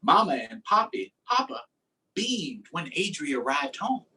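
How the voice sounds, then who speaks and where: A man's voice: fairly high pitch, sing-song, measured pace, pushed and bright, recorded from a distance, low-quality recording. A man hosting a storytime, reading aloud and chatting simply and encouragingly with young children. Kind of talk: read-aloud and casual chat to young children.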